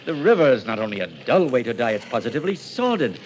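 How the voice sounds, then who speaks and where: An actor playing a genteel, eccentric elderly gentleman in an old-time radio drama, speaking in an urbane, theatrical way. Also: medium pitch, big pitch swings, fast talker, a little hiss or hum, close to an everyday voice. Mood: urbane, persuasive. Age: older.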